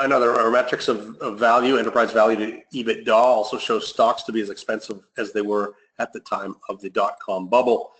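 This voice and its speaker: A man hosting a weekly investment conference call, reading market commentary in a measured way with frequent ums and restarts. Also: on the low side, a bit bright, low-quality recording. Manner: measured, reads notes with frequent fillers and restarts. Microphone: phone or headset on a conference call.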